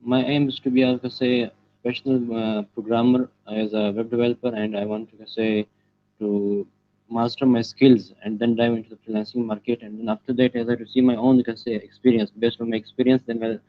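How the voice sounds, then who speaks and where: A teenage boy from Pakistan, a native Pashto speaker, answering interview questions over a call, halting and filling pauses as he goes. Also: mid-range voice, little pitch movement, highs cut off. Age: teens. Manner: halting, many fillers and restarts. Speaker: guest, student web developer.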